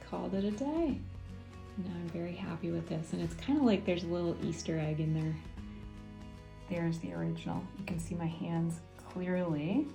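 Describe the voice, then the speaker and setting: A woman's voice: lowish voice, creaky. A woman raised in Japan talks casually as a photographer in her studio, musing aloud in an informal, chatty vlog style. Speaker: photographer presenting a studio video. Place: indoor studio. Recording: roomy, distant mic, music behind.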